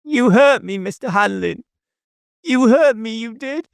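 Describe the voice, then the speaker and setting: Guy doing a little village girl for an audiobook, high pitched n creaky, plaintive, kinda cheeky. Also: lively intonation, measured pace, dry recording, clean studio sound, heavily acted. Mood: tearful, accusing. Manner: plaintive, childlike.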